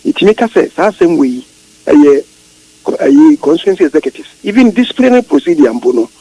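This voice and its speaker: A man's voice: a little high, big pitch swings, fairly quick, warm tone, dry recording, poor audio. A man with a Ghanaian accent explaining emphatically in a radio interview, repeating phrases and asking rhetorical questions as he switches between Twi and English. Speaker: political interviewee.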